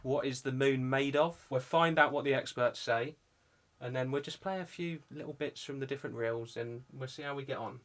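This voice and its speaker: A man's voice: medium pitch, fairly quick, a bit bright. Chatty man presenting a vlog. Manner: informal, chatty. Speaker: video channel host. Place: indoor music studio.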